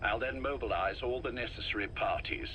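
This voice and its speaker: A man playing a calm, calculating leader of a secret organization in a video game, speaking in a measured, commanding way. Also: medium pitch, muffled recording, close to an everyday voice. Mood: cool, commanding. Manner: measured, deliberate, cool and commanding. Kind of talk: dramatic video game voice acting. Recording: faint noise, recorded tape audio.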